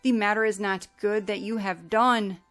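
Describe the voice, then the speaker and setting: A woman's voice: mid-range voice, fairly even intonation, moderate pace, a bit bright, faint music behind, close to an everyday voice. A woman reading a reproachful elder brother. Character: reproachful eldest brother, grown man.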